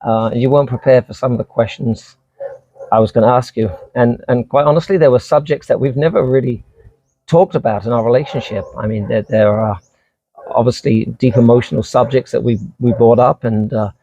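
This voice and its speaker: A man's voice: medium pitch, brisk, mellow, no echo, dull audio. A middle-aged man from England with a British accent tinged slightly with American, chatting casually and unscripted as he is interviewed. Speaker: vlogger being interviewed.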